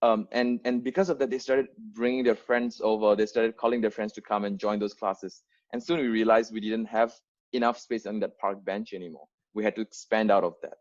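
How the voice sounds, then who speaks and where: A man's voice: on the low side, steady tone, brisk, dull audio. A young man in his twenties, an English speaker from Bangladesh, earnestly telling the story of founding his organisation over a video call, with many fillers and restarts. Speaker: founder giving an onboarding talk. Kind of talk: unscripted presentation to volunteers.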